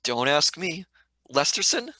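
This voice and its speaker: A woman playing a blunt, excitable companion in a sci-fi radio serial, with a husky voice and exclamatory delivery. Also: deep voice, unhurried, dry recording, exaggerated voice, low-quality recording. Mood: sceptical. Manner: exclamatory, plain-spoken British slang.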